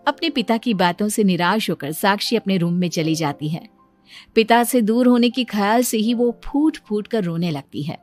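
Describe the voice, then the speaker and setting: A woman's voice: mid-range voice, normal speed, a little mellow. A woman narrator reading a scripted moral story. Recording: music behind the voice.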